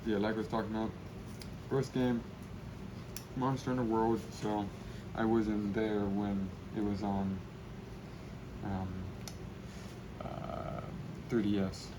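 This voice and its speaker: A young man chatting casually and rambling in a low-key way while he spray paints. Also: little pitch movement, slow, long pauses, background noise.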